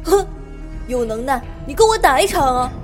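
A shrill, furious wild beast. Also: female voice, sing-song, moderate pace, over music. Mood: defiant. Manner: shouting, indignant, challenging. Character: hot-tempered wild beast, proud and combative. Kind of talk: amateur audio drama acting.

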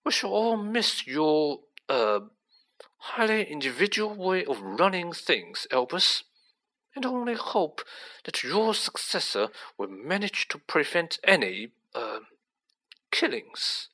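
Storybook villain , played by a man: icy aristocrat, silky and superior, unhurried, higher-voiced. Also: big pitch swings, sharp tone, full character voice, poor audio. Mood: mocking. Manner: smooth, drawling, condescending. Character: smooth, sneering, cold aristocratic male villain.

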